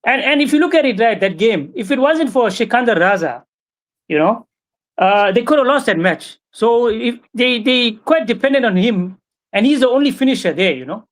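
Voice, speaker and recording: A man joining as a guest pundit, giving measured cricket analysis and often adding right and you know. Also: extremely high pitch, expressive.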